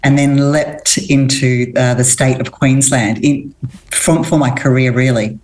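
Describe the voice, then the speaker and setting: A middle-aged woman from Australia with a low voice speaks as a guest on an interview. She answers in an earnest, reflective way, pausing and restarting as she thinks. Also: steady tone, a little mellow, rough recording. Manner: thoughtful, earnest, pauses and restarts. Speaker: guest, women's coach.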